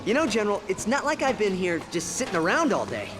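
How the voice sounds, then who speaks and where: An actor playing a plucky, cheeky young furry alien hero in a video game. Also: male voice, high-pitched, very animated, fast talker, music in the background. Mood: cheeky, urgent. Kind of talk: video game cutscene acting.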